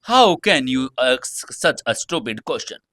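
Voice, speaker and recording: Man playing a cowardly gangster, forceful voice. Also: mid-range voice, very animated, measured pace, no echo, clean studio sound. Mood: indignant. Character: beaten, cowardly male gangster.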